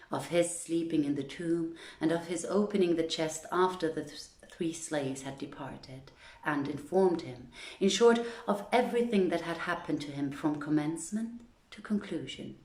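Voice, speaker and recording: A woman's voice: deep voice, darkish tone, echoey room. A woman hosting an online story reading, speaking to listeners and reading literary tales aloud. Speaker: reader of stories to an online audience.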